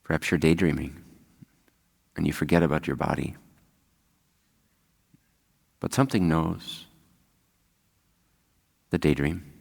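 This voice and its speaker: A man leading a guided meditation as a spiritual teacher, speaking calmly and unhurriedly with long pauses. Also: rumbling low, creaky. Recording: long hall-like reverb.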